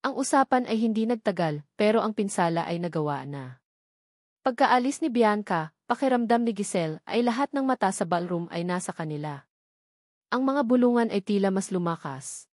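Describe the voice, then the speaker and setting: A woman's voice: mid-range voice, darkish tone, no room sound, studio quality. A woman with a Filipino accent reading a scripted romantic story as a dramatic audiobook narrator.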